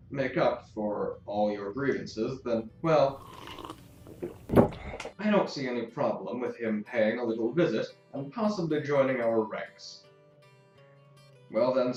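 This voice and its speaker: A man playing a formal, calculating council master who speaks in measured, diplomatic phrases in a science-fiction audio drama. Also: mid-range voice, darkish tone, natural voice. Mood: coolly diplomatic. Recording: distant, echoey, slightly dull, music behind.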